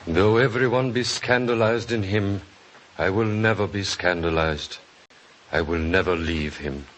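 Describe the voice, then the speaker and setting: A devoted biblical apostle in a religious radio drama. The voice is low and earnest, declaring loyalty with fervent insistence. There is light noise on the old recording. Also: male voice, unhurried, fairly bright tone. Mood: fervent, resolute.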